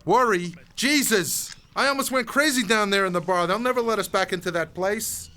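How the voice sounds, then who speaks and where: An actor playing a brash, foul-mouthed, volatile attorney on a drug binge, blustering and bossy in film dialogue. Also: male voice, a little high, animated, a little fast, pushed and bright, slight background noise. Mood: agitated, angry.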